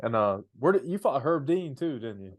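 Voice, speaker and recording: A man with an average-pitched voice acts as a podcast interviewer. He asks his questions in an informal, chatty way. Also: very animated, moderate pace.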